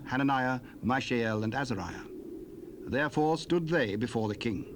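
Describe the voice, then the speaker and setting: Man reading scripture on old cassette. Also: mid-range voice. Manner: measured, solemn reading. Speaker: narrator. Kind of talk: scripted Bible narration. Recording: hissy old cassette tape.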